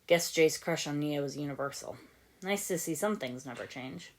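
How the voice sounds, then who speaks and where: A woman's voice: deep voice, animated, moderate pace. A woman reading fan fiction aloud as a scripted audiobook narration. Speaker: podfic narrator.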